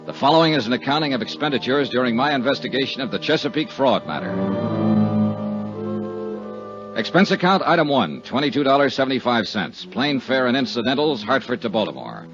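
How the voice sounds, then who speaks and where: An actor playing a wry, probing insurance investigator in a 1950s radio detective drama, with dry, skeptical, clipped delivery. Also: male voice, medium pitch, sing-song, quick pace, few pauses, a bit bright. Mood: crisp, wry. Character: wry, probing freelance male insurance investigator narrating his case. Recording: dull 1950s radio recording, music behind.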